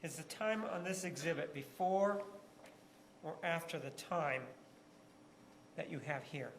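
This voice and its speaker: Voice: medium pitch, measured pace. A lawyer cross-examining a witness in a courtroom, putting pointed leading questions that end in short tags. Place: large indoor courtroom. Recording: echoey courtroom, faint background noise.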